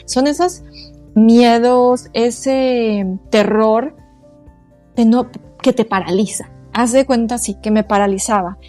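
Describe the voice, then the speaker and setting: Woman, 40s, higher voice, earnest and halting, soft music underneath. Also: steady tone. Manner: halting, searching for words, earnest. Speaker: guest, quantum therapist. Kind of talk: casual interview conversation.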